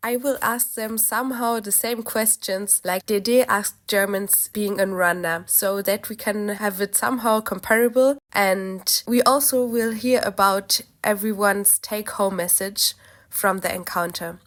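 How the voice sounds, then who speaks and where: A woman hosting a podcast, polite and measured, her voice a little hoarse from a cold as she introduces the episode and asks questions. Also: medium pitch, fairly even intonation, fairly bright tone. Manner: polite, measured, careful phrasing. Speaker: podcast host and interviewer.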